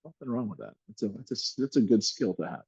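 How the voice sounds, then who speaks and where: A man hosting an interview show, upbeat and joking, with quick interjections. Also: medium pitch, lively intonation, darkish tone, husky, no room sound, muffled recording. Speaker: podcast host.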